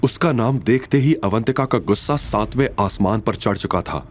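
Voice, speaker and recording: A man's voice: on the low side, normal speed, slight background noise. A man delivering scripted, dramatic narration for an audio story series.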